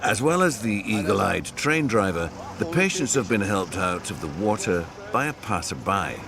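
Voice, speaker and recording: A man's voice: fairly low pitch, big pitch swings, normal speed, background noise. A man narrating a documentary in a scripted, lightly wry way. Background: music.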